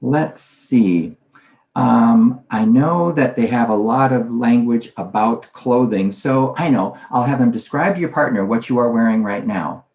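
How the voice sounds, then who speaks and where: A man presenting a conference talk in a conversational way, posing questions and then answering them himself. His voice sits at a medium pitch. The recording carries a roomy echo. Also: darkish tone. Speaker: conference speaker on language teaching.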